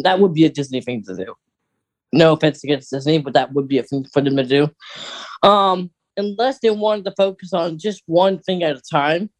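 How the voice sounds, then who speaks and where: A podcast co-host chatting casually and speculating as a fan, often hesitating and thinking aloud. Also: medium pitch.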